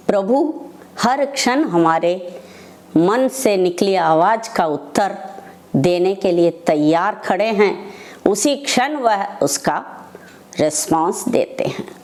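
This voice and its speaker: Slow-speaking older woman telling devotional stories. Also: mid-range voice, animated, a little mellow. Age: older, over 60. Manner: unhurried, pausing between short phrases. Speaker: devotee sharing personal testimony. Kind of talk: devotional personal storytelling.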